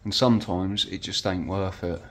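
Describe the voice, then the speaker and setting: A man, chatting casually while exploring a dark abandoned house. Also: low voice, steady tone, moderate pace, slight background noise. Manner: chatty, easily impressed, matey. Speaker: urban explorer host.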